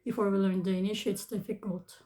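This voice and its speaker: A woman's voice: mid-range voice, flat delivery, fairly quick, warm tone. A woman in her thirties from the Philippines with a Filipino accent, talking casually and hesitantly in a vlog with many restarts. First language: Tagalog. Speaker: vlogger. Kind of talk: casual advice talk.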